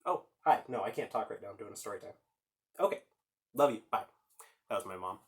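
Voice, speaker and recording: A man's voice: on the low side, animated, a little fast, background noise. A man hosting a children's story time, reading aloud and chatting informally, explaining things and putting questions to the listeners.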